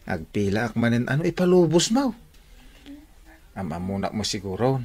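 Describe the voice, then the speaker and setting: An actor with an Ilocano accent playing a lovelorn young bachelor in a radio comedy drama. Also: male voice, mid-range voice, very animated, moderate pace, a little mellow. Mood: plaintive. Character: lovelorn young unmarried man courting a girl.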